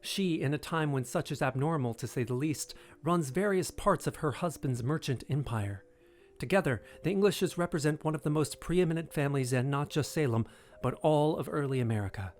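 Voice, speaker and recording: A man's voice: a little high, expressive, brisk. A man narrating an audio drama in a scripted, dramatic storytelling style.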